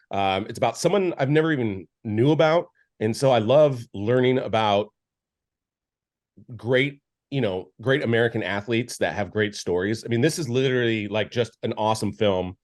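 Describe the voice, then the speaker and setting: A man co-hosting a podcast, giving a casual, rambling film review with lots of restarts and filler words. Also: mid-range voice. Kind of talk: casual chat.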